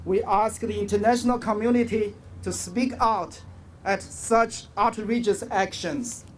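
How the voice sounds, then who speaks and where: A man delivers a prepared rally speech in measured, halting phrases with pauses. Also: high-pitched. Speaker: activist speaker. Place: outdoors at a city rally. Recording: outdoor rally with crowd and street noise.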